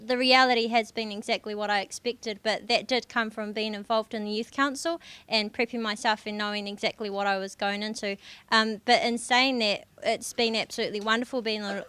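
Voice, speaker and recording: A woman's voice: mid-range voice, a bit bright, clear, resonant tone, dry recording. A young woman, speaking earnestly as a councillor on a conference panel. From New Zealand. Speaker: district councillor with youth portfolio. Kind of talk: panel discussion.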